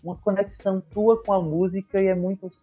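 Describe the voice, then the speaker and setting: Eager, husky Brazilian man interviewing. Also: high voice, flat delivery, a little fast, dark tone. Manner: enthusiastic and effusive with praise. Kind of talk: casual interview. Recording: dull lo-fi sound with faint music.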